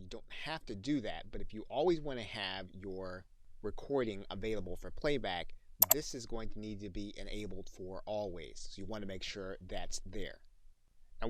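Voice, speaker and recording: A man narrating a software tutorial as an instructor, in an explanatory, instructional manner. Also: fairly low pitch, background noise.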